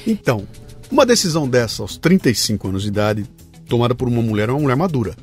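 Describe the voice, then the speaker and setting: A man's voice: mid-range voice, sing-song, racing, darkish tone, soft background music. A man hosting an interview podcast, emphatic and prone to repeating words. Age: 50s. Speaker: podcast host. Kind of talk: conversational interview.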